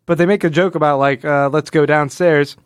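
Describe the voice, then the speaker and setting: Male podcast co-host, slightly higher-pitched, clean smooth voice, dry close sound, casual and rambling explainer. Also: steady tone, brisk, no echo. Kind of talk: casual comic book discussion.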